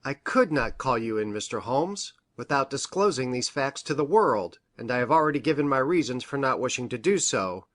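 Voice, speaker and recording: A woman acts out a grave gentleman doctor from a detective storybook. He speaks formally and precisely, in measured sentences, with a lively rise and fall in pitch. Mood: troubled, grave. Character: grave, hesitant male country doctor, troubled witness. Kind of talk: audiobook character reading.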